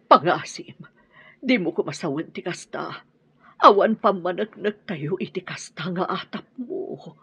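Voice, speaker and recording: A woman with an Ilocano accent playing a grieving, pleading widowed mother in a radio drama, tearful and drawn-out. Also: on the low side, expressive, husky, exaggerated voice. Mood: anxious reproach. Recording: dull, muffled radio drama audio.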